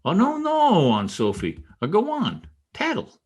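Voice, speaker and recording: A man playing a vain newspaper columnist in an old-time radio comedy, blustering and easily flustered by flattery. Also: high voice, measured pace, husky, exaggerated voice. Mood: flattered, eager. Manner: animated, blustering, hesitant when flattered. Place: home, online video-call production.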